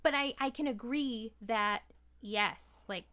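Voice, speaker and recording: A woman in casual conversation, speaking candidly and haltingly, with a self-effacing manner. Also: on the high side, over a noisy crowd.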